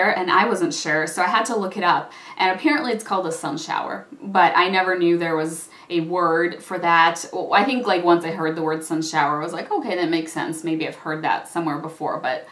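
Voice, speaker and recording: Young russian-born woman chatting to her camera, lowish voice, roomy echo. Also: fairly bright tone. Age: young adult. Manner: chatty, laughs often between words. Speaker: YouTuber. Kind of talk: casual chat to camera. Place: small indoor room with patterned wall. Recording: echoey room.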